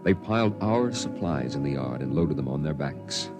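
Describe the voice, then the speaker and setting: A man playing a somber storyteller recounting his boyhood on Mars, in measured, dramatic first-person narration for a vintage radio drama. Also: extremely low pitch, animated. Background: dramatic music.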